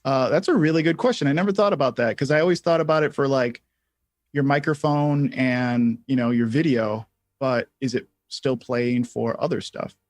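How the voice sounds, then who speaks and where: A man hosting a casual live software tutorial, thinking aloud in a halting way as he explains things step by step. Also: mid-range voice, dry recording. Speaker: livestream host and software instructor.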